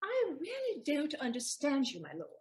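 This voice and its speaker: A sharp-tongued young maid played by a woman in a stage period comedy. Her voice is high and swings widely in pitch as she speaks archly, teasing and wary. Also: wildly swooping pitch, normal speed. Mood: wary, polite.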